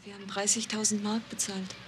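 A woman's voice: mid-range voice, level tone, a little fast, slight background noise, natural voice. An actress playing an anxious adoptive mother who speaks earnestly. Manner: earnest, worried, plain speech. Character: anxious adoptive mother, middle-aged woman. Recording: film soundtrack with music.

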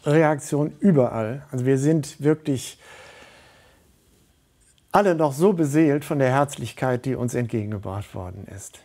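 Mature man with a mellow, dark timbre. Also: mid-range voice, measured pace. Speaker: studio guest, volunteer organiser. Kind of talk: interview answers. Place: TV studio.

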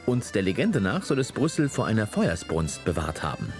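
A man's voice: lowish voice, big pitch swings, normal speed, faint music behind. A man reading a scripted voice-over narration for a television travel and cooking show.

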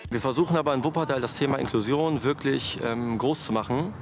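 An earnest young man in his twenties gives an emphatic interview statement. Clear music plays in the background. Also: medium pitch, a little fast. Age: 20s. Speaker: event agency founder. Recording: music behind voice.

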